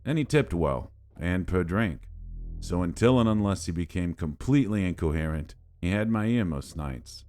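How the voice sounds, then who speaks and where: A man with a very low voice narrates a scripted horror tale. He tells it in the first person, with a wry, world-weary manner suited to a bartender's recollection. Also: expressive, normal speed, a little mellow.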